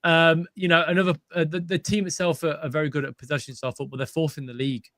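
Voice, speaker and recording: A man's voice: fairly high pitch, flat delivery, fast, fairly bright tone, no room sound. A man co-hosting a casual football chat, hesitant with many fillers and restarts. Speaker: co-host, fan pundit.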